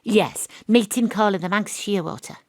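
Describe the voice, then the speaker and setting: An actress playing a wry, cocky woman detective who narrates her case in a deadpan, confiding audio drama style. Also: medium pitch, normal speed, no echo, clean studio sound, close to an everyday voice. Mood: conspiratorial.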